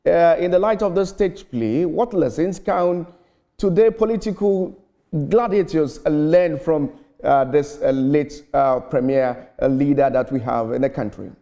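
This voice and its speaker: A man's voice: on the high side, unhurried, dark tone. A man presenting a morning TV news program, reading an intro and then questioning a guest, stumbling now and then. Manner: reads a scripted intro, then asks questions with some stumbles. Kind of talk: news interview.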